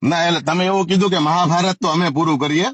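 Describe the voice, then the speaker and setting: A man with a Saurashtra Gujarati accent talks quickly in a high voice on a phone call. He is pushy and confrontational, pressing his point again and again, with a dry, close sound. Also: fairly even intonation, fairly bright tone, no echo. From Gujarat, India. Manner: pushy, challenging, insistent.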